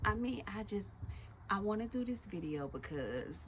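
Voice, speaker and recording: A woman in her forties chatting casually and laughing loudly with an old friend for her video. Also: mid-range voice, normal speed, slight background noise. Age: 40s. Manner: loud laughing, expressive, slangy. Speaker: vlog host.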